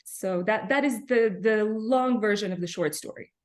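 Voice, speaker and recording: A woman from Serbia, a pianist, answering interview questions reflectively with frequent soft fillers and pauses. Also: mid-range voice. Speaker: pianist guest.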